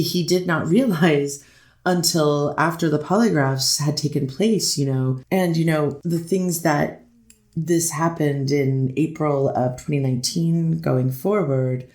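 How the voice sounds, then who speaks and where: A performer playing a hesitant, deferential defense lawyer who pleads to a judge in halting, repetitive phrases, in a courtroom readers theater piece. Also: medium pitch, darkish tone, close to an everyday voice. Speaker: defense attorney. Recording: roomy, echoing voice recording.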